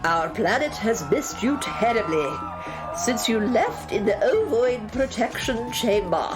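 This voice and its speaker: A woman's voice: on the high side, very animated, a little slow. An actress playing a ceremonious, reverent female prime minister of an alien planet in a children's sci-fi radio play. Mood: reverent welcome. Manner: ceremonious, stately, effusive. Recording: music clearly behind the voice.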